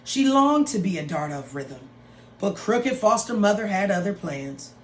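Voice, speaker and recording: A woman teacher reading a story aloud to students in an expressive, dramatic way, with drawn-out phrases and playful asides. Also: medium pitch. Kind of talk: expressive read-aloud of a picture book for students. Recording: roomy echo with some background noise.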